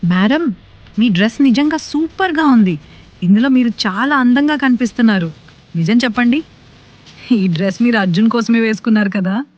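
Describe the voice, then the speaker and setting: A woman playing a teasing, flattering young female friend in a cartoon story. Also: fairly high pitch, normal speed, dull audio. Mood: admiring, teasing.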